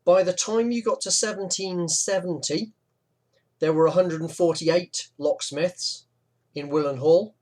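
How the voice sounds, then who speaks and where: A man, his voice sitting a little high for a man. He narrates over maps in a relaxed, conversational way, with the odd "um" and aside thrown in. It sounds like a home voice-over for an informal history video. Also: measured pace. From West Midlands, England. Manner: chatty, relaxed, with fillers and asides.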